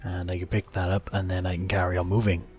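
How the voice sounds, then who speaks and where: A man's voice: sits low, monotone, fast, darkish tone. A man hosting a gaming video, giving plain step-by-step explanatory commentary on the gameplay. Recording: faint game music behind voice. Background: game soundtrack.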